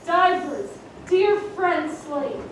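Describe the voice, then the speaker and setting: A woman's voice: extremely high pitch, expressive, drawn-out words, heavily acted. An actress playing a defeated king who consoles a grieving lady, speaking Shakespearean verse. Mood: troubled. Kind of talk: live Shakespearean stage acting. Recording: distant stage recording in an echoey hall, with background noise.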